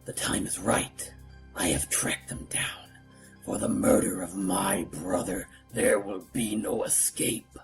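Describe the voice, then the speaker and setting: A man voicing a menacing, vengeful ninja villain in a voice comic, cold and deliberate with a low gravelly tone. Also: steady tone, full character voice. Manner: slow, cold, deliberate, ominous. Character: menacing male ninja villain bent on revenge. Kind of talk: fan voice comic villain acting. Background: music.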